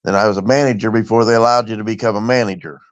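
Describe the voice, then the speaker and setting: A man's voice: on the low side, fairly even intonation, rapid-fire, no echo. A middle-aged man from Indiana, a former truck driver, reminiscing in plain casual chat. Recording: dull, dry, lo-fi stream audio.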